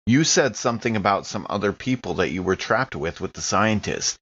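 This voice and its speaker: A man's voice: lowish voice, animated, brisk, a bit bright, breathy edge, highs cut off. A man playing a character in a tabletop roleplaying podcast, chatting casually in and out of character. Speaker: player in an actual-play podcast.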